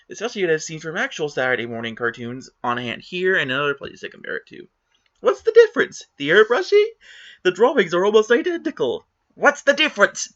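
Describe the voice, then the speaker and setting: A man's voice: a little high, a bit bright, muffled recording. Theatrical man reading forum posts. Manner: exaggerated, indignant, theatrical emphasis. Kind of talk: dramatic reading of online comments.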